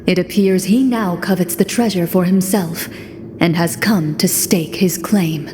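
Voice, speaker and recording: A woman's voice: fairly low pitch, noisy background, lightly acted. Composed, solemn woman acting as game master. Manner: formal, measured narration.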